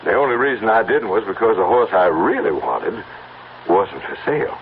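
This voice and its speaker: Radio play: self-assured horse buyer, insistent and wheedling, very animated delivery, tinny vintage sound. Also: male voice, lowish voice, normal speed. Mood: persuasive. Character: cocky, persistent horseman wheedling to buy a horse. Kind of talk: old-time radio drama acting. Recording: tinny band-limited old radio recording with hiss.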